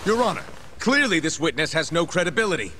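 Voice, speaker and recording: A man's voice: a little high, sing-song, fast talker, loud music behind the voice. A man playing an abrasive, hot-tempered prosecutor in a video game, speaking in a cutting, formal, pointed way. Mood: accusatory. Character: abrasive, accusatory male prosecutor, hot-tempered and self-righteous. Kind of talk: English-dub video game cutscene acting.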